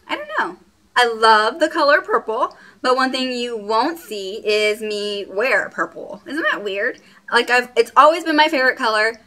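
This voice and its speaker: A woman in her late twenties, born in Puerto Rico and raised in Texas, chats to the camera at home. Her voice is bright and crisp, sitting at a middle pitch. She rambles freely, stopping often on "um" and "what else.". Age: late 20s. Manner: chatty and rambling, with frequent fillers. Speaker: beauty vlogger. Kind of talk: casual get-to-know-me vlog.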